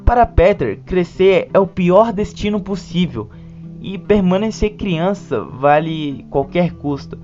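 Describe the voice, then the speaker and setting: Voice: medium pitch, lively intonation, a little slow, mellow. A narrator with a Brazilian Portuguese accent delivering a scripted video essay with informal touches. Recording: dull lo-fi sound, music behind. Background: background music.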